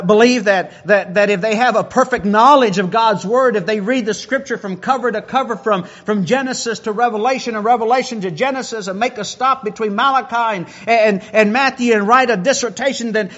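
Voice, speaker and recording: A man's voice: very high voice, fairly bright tone, muffled recording. A man preaching a sermon who often repeats words as he builds a point.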